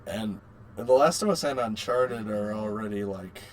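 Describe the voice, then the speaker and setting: A man's voice: lowish voice, animated, moderate pace, a little hiss or hum. A man co-hosting a casual podcast chat about games and films, speaking in an opinionated way and repeating phrases for emphasis. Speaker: podcast co-host.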